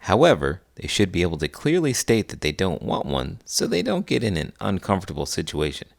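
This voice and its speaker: Deep, gravelly man teaching children. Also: huge pitch swings, fairly quick. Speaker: host, elementary school teacher. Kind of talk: friendly educational chat for children.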